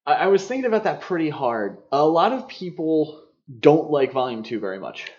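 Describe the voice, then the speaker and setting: A man co-hosting a podcast, discussing films conversationally in a measured, analytical and opinionated way. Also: a little high, very animated, a little mellow, highs cut off. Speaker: podcast co-host.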